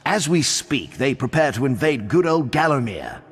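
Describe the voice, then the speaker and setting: A man's voice: mid-range voice, sing-song, moderate pace, husky. An actor playing a jovial, blustering old-fashioned king with a plummy, pompous upper-class British accent in a video game. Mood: conspiratorial. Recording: long echoing hall reverb.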